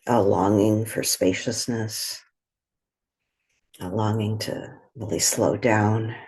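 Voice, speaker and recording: A woman leading a guided meditation in a gentle, soothing way, with a soft, breathy voice and long pauses between short phrases. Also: extremely low pitch, fairly even intonation.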